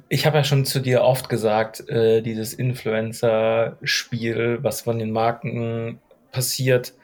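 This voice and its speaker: Teasing, opinionated male co-host. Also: medium pitch. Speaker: podcast co-host. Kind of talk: casual chat.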